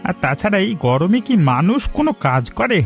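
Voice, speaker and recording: A man's voice: sits high, sing-song, brisk, exaggerated voice. A man playing a lazy, gluttonous brother in a cartoon, easygoing but scornful. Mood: carefree, scornful. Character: lazy, gluttonous, carefree brother who sneers. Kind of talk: Bengali cartoon story acting. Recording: clear music under the voice.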